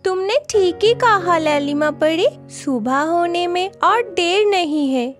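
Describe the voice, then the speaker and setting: A gentle, sensible young fairy mermaid from a cartoon, played by a woman talks in a very high girlish voice. She is earnest and deliberate, taking her words slowly, with clear music behind her. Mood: agreeable, calm. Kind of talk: children's cartoon voice acting.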